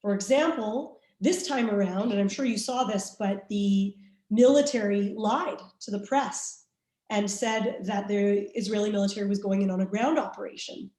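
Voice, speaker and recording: A woman's voice: medium pitch, moderate pace, dark tone, reverberant. A woman from Canada, a journalist answering interview questions candidly, with many restarts and fillers. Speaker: journalist guest.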